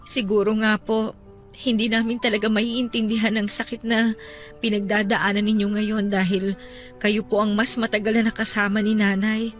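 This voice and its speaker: An actress playing a gentle, respectful young adult daughter consoling her father in an emotional radio drama, earnest and pleading. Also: mid-range voice, flat delivery, moderate pace, natural voice. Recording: music under the voice. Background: music.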